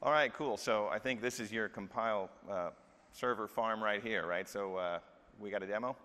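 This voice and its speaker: A man's voice: fairly low pitch, normal speed, sharp tone. A man hosting a live product demo on stage, casual and joking, asking leading questions. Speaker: demo host. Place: large stage, hall. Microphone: lapel mic. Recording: reverberant hall.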